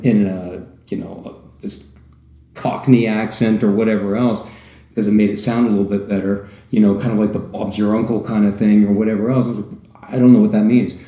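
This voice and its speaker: A man with a deep voice and an American accent, a podcast guest telling stories with lively asides. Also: roomy sound. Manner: storytelling, animated asides. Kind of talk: casual podcast chat.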